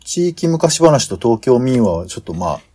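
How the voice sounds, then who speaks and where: Man with very animated, swinging intonation. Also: medium pitch, normal speed, slightly rough. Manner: hesitant, searching for words as he talks. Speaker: podcast host. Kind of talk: casual planning discussion.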